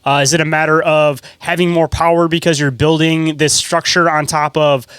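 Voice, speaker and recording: A man's voice: fairly high pitch, flat delivery, moderate pace, fairly bright tone, smooth, dry recording. A man hosting a podcast, speaking casually in long, rambling, speculative thoughts peppered with "like". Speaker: podcast host. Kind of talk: casual conversational interviewing.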